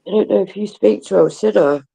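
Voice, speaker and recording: A woman's voice: mid-range voice, fast talker, creaky, dark tone, breathy edge, dry recording, rough recording. A woman hosting a casual livestream, gossiping and swearing with a bunged-up cold and laughing often. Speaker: livestream host. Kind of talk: casual chat with viewers.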